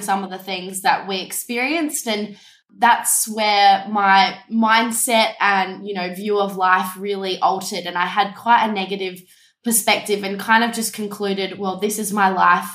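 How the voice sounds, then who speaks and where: A woman's voice: medium pitch, fairly bright tone. A young woman who is a business coach, telling her story earnestly and reflectively as an interview guest. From Denmark. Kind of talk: casual interview. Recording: echoey room.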